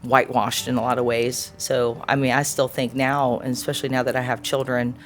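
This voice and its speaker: A woman's voice: rumbling low, level tone, brisk, slight background noise. Earnest, reflective woman in her forties. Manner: earnest, thoughtful, searching for words. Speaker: interviewee. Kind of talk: reflective personal interview answer.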